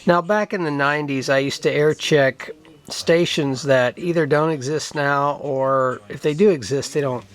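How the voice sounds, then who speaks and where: A man's voice: on the high side, normal speed. Rambling man chatting casually. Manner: rambling, thinking aloud. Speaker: video host. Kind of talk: casual show-and-tell chat.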